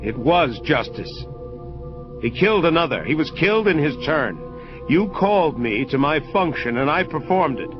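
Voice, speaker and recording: A man's voice: a little high, big pitch swings, moderate pace. An actor playing a stern, implacable angel executioner, formal and resolute about his duty. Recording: dull lo-fi audio under loud music.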